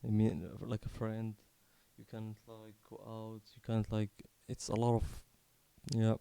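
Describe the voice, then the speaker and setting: A man in his twenties from Morocco tells a personal story unscripted, in a halting, candid, casually sweary way. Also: on the low side, monotone, creaky, dark tone, smooth. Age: 20s. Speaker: video creator telling his life story.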